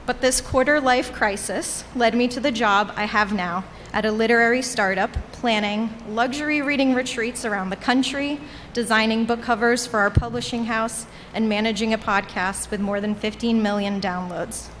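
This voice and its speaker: A woman's voice: a little high, a bit bright. A woman in her late twenties whose first language is Portuguese, giving an earnest, heartfelt graduation speech from a podium. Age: late 20s. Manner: earnest, heartfelt, measured delivery. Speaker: graduation speaker. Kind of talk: commencement speech. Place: graduation ceremony venue. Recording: reverberant hall with faint ambient noise.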